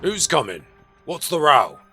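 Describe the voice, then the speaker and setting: A man voicing a blustering but uneasy village policeman in an audiobook, with a husky, forceful voice. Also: low-pitched, unhurried, soft background music, pitched below the performer's own voice, heavily acted. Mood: alarmed, commanding. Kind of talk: dramatised audiobook character voice.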